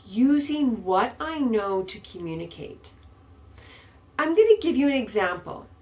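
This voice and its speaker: Casting: woman podcast host, unhurried, patient teacher. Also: medium pitch. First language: English. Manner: slow, patient, emphatic explaining. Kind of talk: podcast grammar lesson. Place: Istanbul.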